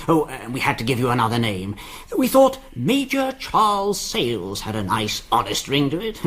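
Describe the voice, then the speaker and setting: An actor playing a disgraced English solicitor turned forger, urbane and fussy, in a radio drama. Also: male voice, mid-range voice, wildly swooping pitch, moderate pace, fairly bright tone, slightly rough, full character voice. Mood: urbane, pleased. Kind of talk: British radio drama acting.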